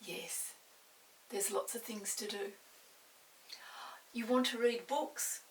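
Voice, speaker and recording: Slow-paced older woman reading to children. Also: fairly high pitch, fairly even intonation. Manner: simple, child-directed explanations and questions. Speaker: storytime host, grandmother. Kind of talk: children's story reading with chatty asides. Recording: hissy, distant room microphone.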